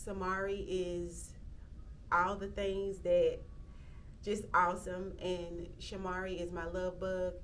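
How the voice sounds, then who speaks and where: A woman, speaking reflectively in an interview, often pausing with "um" and restarting sentences. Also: lowish voice, level tone, a bit bright, recorded from a distance, a little hiss or hum. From Athens, Georgia, US. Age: 30s. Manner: reflective, with frequent "um" fillers and restarts. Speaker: interviewee, local county commissioner. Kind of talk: casual interview talk.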